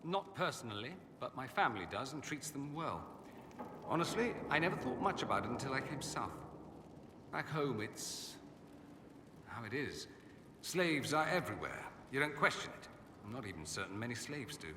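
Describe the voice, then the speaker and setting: An actor playing an urbane, witty, self-assured aristocrat mage in a video game, articulate, theatrical and sardonic. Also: male voice, medium pitch, big pitch swings, normal speed, natural voice. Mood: earnest, defensive. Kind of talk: video game dialogue acting. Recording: echoey hall with ambient noise.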